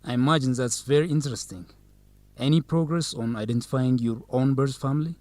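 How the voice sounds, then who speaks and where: A man's voice: medium pitch, brisk, a little mellow, no room sound, lightly acted. Man acting as earnest young student, close dry sound. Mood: polite, curious. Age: young adult. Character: earnest, courteous young male student council member. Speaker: radio drama actor. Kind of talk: scripted English-learning radio drama.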